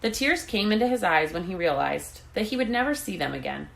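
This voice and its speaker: A woman reading a children's book aloud in an expressive narrating style. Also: mid-range voice, a little fast, gravelly, low-quality recording. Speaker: storybook reader.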